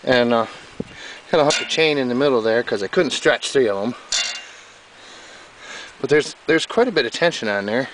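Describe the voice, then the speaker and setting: A man's voice: medium pitch, moderate pace, pauses often, a bit bright, low-quality recording. A man giving casual, off-the-cuff commentary on his own mechanical project in a folksy, rambling way, talking outdoors into a handheld camera. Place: outdoors in an overgrown yard. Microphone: handheld camera mic. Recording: hissy handheld camera recording.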